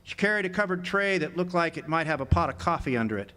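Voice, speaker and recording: A man's voice: on the high side, expressive, fast, bright, forceful tone. An actor playing a wry, hard-boiled drifter who narrates his own tale in a live noir radio drama. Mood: wry, musing. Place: live theater stage. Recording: noisy live amphitheater stage recording.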